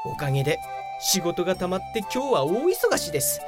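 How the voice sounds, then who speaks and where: A woman's voice: on the low side, huge pitch swings, brisk, music in the background. A woman playing a young male office worker in an audio drama, self-assured and grumbling. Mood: aggrieved. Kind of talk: Japanese audio drama acting.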